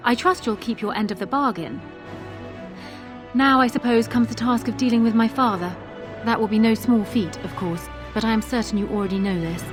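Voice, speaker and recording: A woman's voice: fairly high pitch, brisk, over music, close to an everyday voice. A woman playing a cool, shrewd, regal queen in a fantasy game, poised and formal with a dry manner. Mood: businesslike. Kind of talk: measured fantasy game acting.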